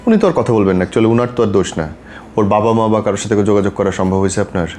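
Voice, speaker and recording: A man's voice: fairly low pitch, fast talker, a little mellow. A man with a Bangladeshi accent hosting a conversational interview, asking questions and giving practical advice. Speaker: podcast host interviewing a guest. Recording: faint background noise.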